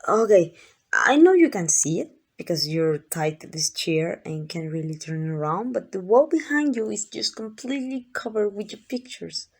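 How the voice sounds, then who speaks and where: A woman's voice: medium pitch, big pitch swings, normal speed. A woman playing an obsessive, doting yandere kidnapper in an ASMR roleplay, gushing and coaxing with affection. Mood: sheepish, eager. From Mexico.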